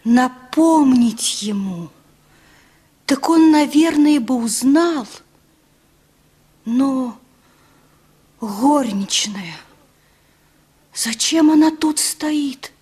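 An actress playing a timid, ashamed young woman in distress in a radio play, halting and plaintive. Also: sits high, expressive, mellow, slightly rough, exaggerated voice. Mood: embarrassed. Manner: halting, plaintive, breaking into whimpers and whispers. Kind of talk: radio play character acting.

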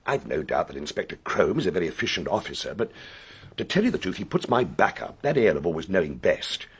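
Take-charge English gentleman, low raspy voice, upper-class, forthright, highly varied intonation, radio play. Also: very animated, quick pace, full character voice. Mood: impatient, frank. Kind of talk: radio drama acting.

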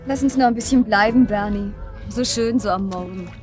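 An actress in a German radio drama playing an uneasy, guarded older sister with a plain-spoken, wry manner. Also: female voice, medium pitch, moderate pace, music in the background, natural voice. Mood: wry, pensive. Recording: clearly noisy ambient background.

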